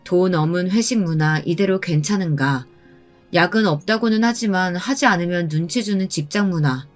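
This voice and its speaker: A woman telling a first-person story in a calm, pointed manner. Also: lowish voice. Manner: calm and pointed, recounting with indignation. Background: soft background music.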